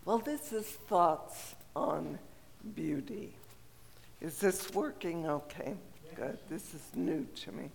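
Older lady telling a story real slow, gravelly raspy voice, long hall reverb behind her. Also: low voice, animated, slight background noise. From Colorado. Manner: slow, gentle reminiscing with frequent pauses and fillers. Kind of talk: personal storytelling.

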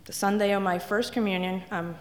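A woman's voice: mid-range voice, fairly even intonation, a little fast, slight background noise. A woman from Italy, telling wry, self-deprecating stories as a speaker in a church. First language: Italian. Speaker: guest speaker. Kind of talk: humorous personal testimony talk. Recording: echoey hall reverb.

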